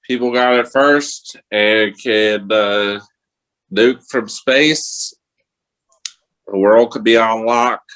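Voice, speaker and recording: A man in a casual radio-show chat, speaking in a hesitant, halting way with repeats. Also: on the low side, fairly bright tone, rough recording.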